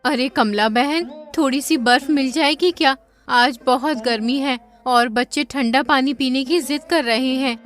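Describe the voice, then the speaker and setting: A woman voicing a gentle, weary poor mother in a cartoon story. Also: high-pitched, measured pace, hardly any pauses, soft background music. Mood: soothing. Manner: soothing, weary.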